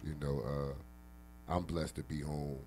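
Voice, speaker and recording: A middle-aged man speaking in a halting, earnest, reflective way in a casual radio conversation, often repeating his words. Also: very deep voice, little pitch movement, mellow, faint music behind. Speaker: interview participant, former prisoner. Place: radio interview room.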